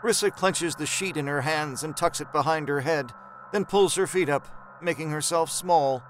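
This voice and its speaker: A man narrating a horror story in a measured, suspenseful, dramatic storytelling style. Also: on the high side, a little hiss or hum, close to an everyday voice.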